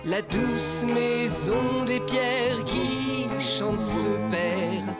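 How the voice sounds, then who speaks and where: A woman's voice: a little high, sing-song, drawn-out words. A woman singing wistfully. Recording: loud music behind.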